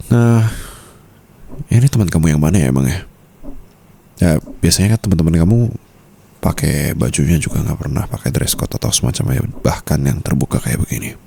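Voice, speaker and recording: Mafia boss husband, male actor: deep, creaky voice, flirtatious and possessive, intimate ASMR roleplay delivery. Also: warm tone, exaggerated voice. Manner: slow, teasing murmur, mixing in English phrases.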